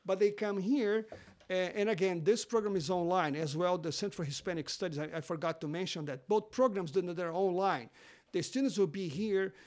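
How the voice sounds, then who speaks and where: A man with a high voice, speaking English as a non-native language. He is a seminary teacher answering questions as a guest on a podcast. His talk is halting, full of fillers and restarts. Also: darkish tone. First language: not English.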